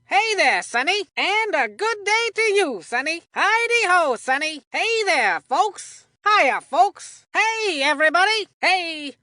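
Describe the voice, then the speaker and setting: A woman's voice: very high voice, sing-song, slow, hardly any pauses, pushed and bright, no echo, clean studio sound, heavily acted. A woman voicing a folksy, confident boy baseball player in a cartoon video game, chatty and full of old-fashioned exclamations. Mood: cheerful greeting. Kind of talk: cartoon video game voicelines, sports banter.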